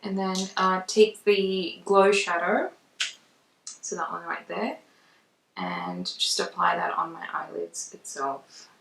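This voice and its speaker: A woman's voice: on the low side, animated, takes it slowly, creaky, fairly bright tone. A woman giving a casual makeup tutorial in a chatty, conversational way. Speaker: makeup vlogger. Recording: roomy echo, distant mic.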